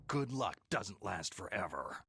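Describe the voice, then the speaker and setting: An actor playing a gruff, world-weary special forces soldier in a video game, terse and commanding, with a gravelly, breathy growl. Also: male voice, low-pitched, sing-song, a little slow, bright, forceful tone, a little hiss or hum, exaggerated voice. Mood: stern. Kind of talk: dramatic video game voice acting. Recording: game audio under background music.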